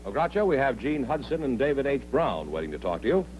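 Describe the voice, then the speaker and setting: Polished male announcer, lively pitch. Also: fast talker, dull audio, a little hiss or hum. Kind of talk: radio-TV announcer and sponsor read.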